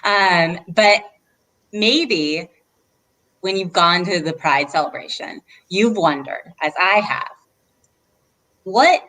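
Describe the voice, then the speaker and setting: A woman's voice: mid-range voice, fairly bright tone, rough recording. A woman hosting a read-aloud for children, speaking as a librarian in an encouraging way and putting questions to her listeners. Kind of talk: children's storytime chat.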